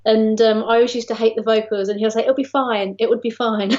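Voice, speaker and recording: A woman's voice: fairly high pitch, fairly even intonation, fast talker, darkish tone. A woman with an English accent, a singer-songwriter answering interview questions over a call in a friendly, chatty way. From England. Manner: friendly, laughs often, uses fillers. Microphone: remote call. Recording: dull lo-fi call audio.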